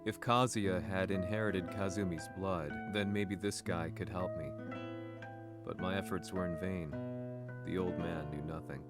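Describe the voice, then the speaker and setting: A middle-aged man voicing a weary investigative journalist, narrating a game story in a measured, reflective first-person manner. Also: deep voice, fairly even intonation. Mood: brooding. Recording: over loud guitar score.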